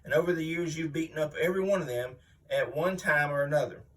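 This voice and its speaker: A man's voice: on the high side, fast talker, a bit bright, distant mic, lightly acted, low-quality recording. A man playing a boastful, mean veteran wrestling villain, blustering and aggrieved as if giving an interview. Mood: aggrieved, menacing. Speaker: reader voicing an interviewed wrestler. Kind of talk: dramatic magazine interview reading, wrestling promo.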